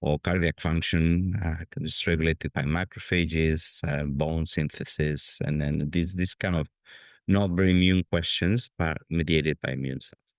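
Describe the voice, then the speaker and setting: A Spanish man in his fifties talks through his answers in a very low, resonant voice. He hesitates and restarts often with plenty of fillers. The recording is close and dry. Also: fairly even intonation, unhurried, dry recording. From Spain. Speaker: guest scientist. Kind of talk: conversational interview.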